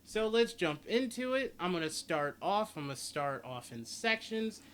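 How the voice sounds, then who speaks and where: A man with a slightly high voice talks through a game guide in a casual, rambling way. He often restates his points as he goes. There is some noise behind him on the recording. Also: sing-song, normal speed. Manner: rambling, repeats and rephrases himself. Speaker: gaming video host. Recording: clearly noisy home recording.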